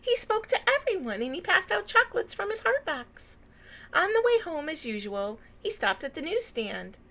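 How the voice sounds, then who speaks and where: Lady doing storytime for kids, really swoopy expressive voice, bright and dramatic. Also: very high-pitched, normal speed. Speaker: storyteller reading a picture book aloud to children. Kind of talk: animated read-aloud narration with asides.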